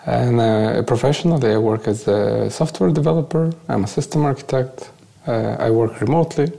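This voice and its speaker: A man from Bulgaria with a Bulgarian accent, giving a conversational, halting first-person account as an interview guest. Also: medium pitch, gravelly, dark tone.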